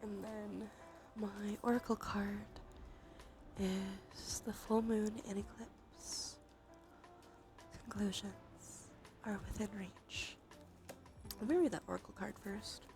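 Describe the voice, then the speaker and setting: A woman livestream host speaking in a soft, breathy, often whispered voice, murmuring casually and unhurriedly to viewers in an ASMR tarot style. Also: mid-range voice, little pitch movement, slow pace, faint music behind. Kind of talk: ASMR tarot reading stream.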